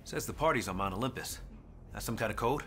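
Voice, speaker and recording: An actor playing a serious, level-headed rogue cop who talks tersely in a video game. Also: male voice, fairly low pitch, expressive, fast talker, a bit bright, slight background noise. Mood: inquisitive. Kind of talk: video game cutscene acting.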